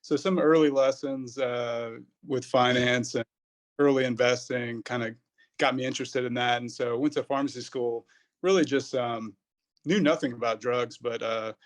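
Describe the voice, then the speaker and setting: A man's voice: mid-range voice, moderate pace. A man in his thirties, a pharmacist guest on a podcast, answering casually in a rambling way. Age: 30s. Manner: rambling, many fillers and restarts.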